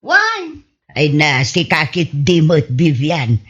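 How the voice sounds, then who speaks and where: A woman with an Ilocano Filipino accent playing a chatty, helpful village wife who grumbles good-naturedly, in a husky-voiced radio comedy drama. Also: sits low, huge pitch swings, takes it slowly, a bit bright, dull audio, full character voice. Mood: helpful, obliging.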